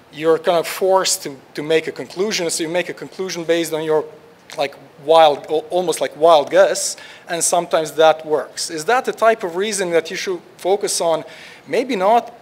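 A young man from Croatia, a research scientist giving an informal tutorial talk in a lecture hall, with lots of "like" fillers and restarts. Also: fairly high pitch. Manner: casual, many "like" fillers and restarts.

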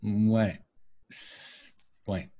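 A middle-aged American man, a native English speaker, answering questions over a video call in careful, deliberate French with an American accent. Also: on the low side, level tone, mellow. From United States. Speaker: guest, video editor. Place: home room with paintings and lamp, indoors. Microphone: webcam on a video call.

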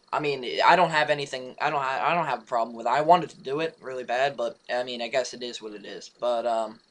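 A young man with a raspy, just-woken voice, rambling through a casual update for his gaming channel with many fillers and restarts. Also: medium pitch, a bit bright. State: hoarse, just woke up. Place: home room beside his gaming setup.

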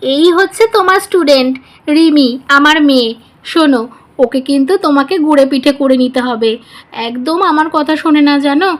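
A high, emphatic mother's voice acted by a woman in a doll-play family story. She is talkative and fretful. She confides her household worries in a homely way. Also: normal speed, lightly acted. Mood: fretting. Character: busy, fretting, talkative mother of a schoolgirl.

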